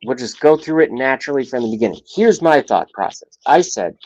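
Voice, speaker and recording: A man in his late thirties hosting a livestream talk show, rambling and profane, with his loudness swinging up and down. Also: medium pitch, expressive, a little fast, highs cut off. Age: 30s.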